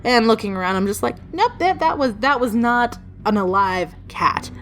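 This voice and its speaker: Animated, higher-voiced chatty woman storyteller. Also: normal speed. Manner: chatty, rambling, animated with playful emphasis. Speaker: storytelling video creator. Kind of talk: casual personal storytelling. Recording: music behind the voice. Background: background music.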